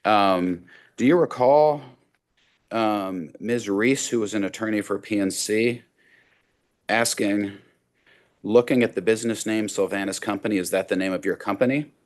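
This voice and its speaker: Deep-voiced male attorney questioning. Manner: measured, methodical questioning. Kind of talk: courtroom cross-examination. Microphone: video call.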